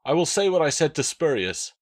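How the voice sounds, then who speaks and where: Man playing a steady, firm Roman soldier. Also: fairly high pitch, pitched above the performer's own voice. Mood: firm denial. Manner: even, firm, measured. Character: steady, principled Roman soldier, calm under threat.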